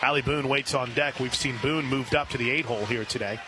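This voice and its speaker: A man calling live softball play-by-play on radio, filling the gaps between pitches with conversational detail. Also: fairly quick. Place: outdoor softball stadium broadcast position. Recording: clear outdoor ballpark ambient noise.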